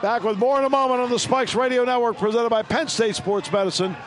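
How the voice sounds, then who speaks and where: A man announcing a baseball game on radio, giving scores and reading sponsor lines in a brisk announcer delivery. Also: very high-pitched, slight background noise. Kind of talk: radio sports broadcast.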